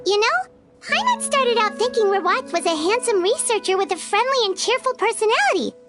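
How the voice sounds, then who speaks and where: Game fairy companion: squeaky-high, chatty, childlike and opinionated, over clear background music. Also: female voice, sing-song, a little fast. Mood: musing. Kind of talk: video game character dialogue.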